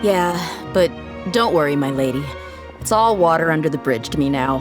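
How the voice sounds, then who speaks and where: Low-voiced tough female mercenary, music behind. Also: expressive, moderate pace. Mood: calm, resolute. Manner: casual, matter-of-fact, world-weary. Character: tough, laid-back female desert mercenary, loyal and candid. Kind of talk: video game character acting, conversational storytelling. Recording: studio game dialogue over loud music. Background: background game music.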